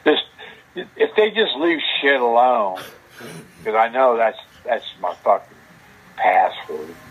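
A man's voice: mid-range voice, sing-song, takes it slowly, muffled recording. An older man muttering in exasperation at a computer, frustrated, irritable and swearing as he grumbles. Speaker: host's father. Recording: noisy home recording, background sounds.

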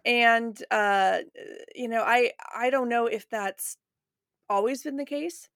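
Creaky American woman, close and dry. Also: on the high side, takes it slowly, fairly bright tone, no room sound. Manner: informal, blunt, sweary. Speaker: podcast host. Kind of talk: casual chat.

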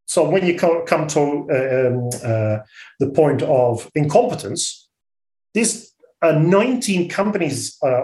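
A man with a Dutch accent speaking as an interview guest, blunt and outspoken, often halting and repeating words. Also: a little high, a little mellow, echoey room, low-quality recording. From Netherlands. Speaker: interview guest, orchard business owner. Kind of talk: radio interview.

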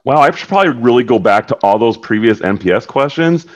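A man's voice: medium pitch, expressive, fast. A man on a discussion panel, talking in a loose, rambling way with many fillers. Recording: muffled lo-fi audio.

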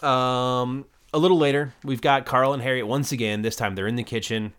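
A man's voice: medium pitch, fairly even intonation, normal speed, fairly bright tone, smooth. A man co-hosting a podcast, retelling sitcom scenes in a rambling, casual way with lots of fillers. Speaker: podcast co-host recapping a sitcom episode. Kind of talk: casual chat.

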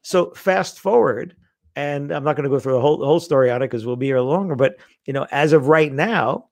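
A man's voice: a little high, fairly quick, vocal fry, dry recording. A middle-aged man telling stories in a casual conversation, acting out the lines he quotes. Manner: animated storyteller, acts out quoted lines. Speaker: guest.

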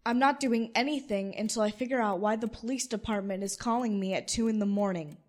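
A high-pitched young woman, played by an actress in a radio drama. She is sharp-tongued and defiant, pushing back with blunt sarcasm. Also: a little fast, lightly acted. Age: young adult. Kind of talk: audio drama acting.

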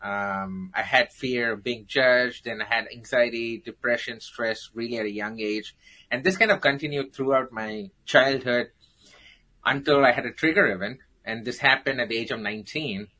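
Indian accent guy, bright punchy voice, joking about himself while telling his story. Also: medium pitch, moderate pace, rough recording. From South India. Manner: storytelling, jokey, self-deprecating. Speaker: guest.

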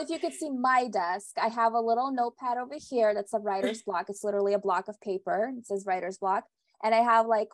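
A woman's voice: medium pitch, dry recording. A woman originally from Russia, a guest author chatting casually on a podcast, laughing often and correcting herself as she goes.

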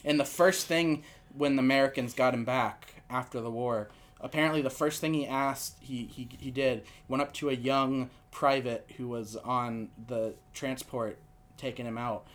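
A man with a nasal voice hosting a casual comedy podcast, telling absurd stories deadpan with lots of fillers into a podcast mic. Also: medium pitch, measured pace. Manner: rambling, deadpan absurd storytelling with many fillers. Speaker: podcast host. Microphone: podcast microphone.

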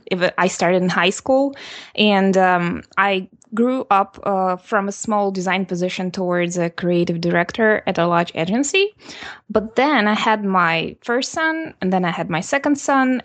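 A woman's voice: mid-range voice, no room sound. Russian-accented young woman, dry and close. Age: 20s. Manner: steady, businesslike explaining with soft "mm" pauses. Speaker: guest, designer and author. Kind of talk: interview answers over a call.

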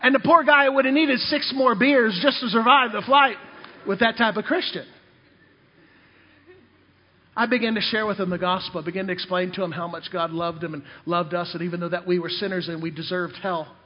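A man preaching a sermon in a high, husky voice, spinning funny personal anecdotes and checking in with the congregation as he goes. The sound is dull and lo-fi, with long reverb trailing off the walls of a church hall. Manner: storytelling, calls out to the congregation. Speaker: preacher and missionary. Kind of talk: sermon with humorous personal stories.

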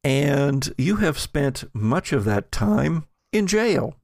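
A man narrating a tabletop game as podcast game master, in a casual, halting, improvised and amiable conversational style. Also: a little high, big pitch swings, vocal fry, darkish tone, no room sound, studio quality.

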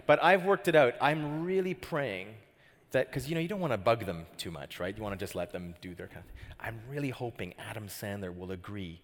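A man's voice: on the low side, lively intonation, fairly quick. A middle-aged Canadian man preaching a sermon as pastor, chatty and joking, often asking the crowd questions. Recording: hall reverb.

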